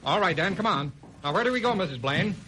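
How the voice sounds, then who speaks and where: A breezy, commanding detective in a vintage radio crime drama. He talks very fast in slangy bursts, with lively swings of pitch. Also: noisy background. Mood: alert, urgent. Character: brisk, confident police detective, breezy and bossy. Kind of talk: old-time radio crime drama acting.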